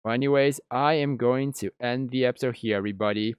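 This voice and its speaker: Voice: mid-range voice, fast talker, clear, resonant tone, dry recording, studio quality. A let's play host reading visual novel narration aloud, with casual asides.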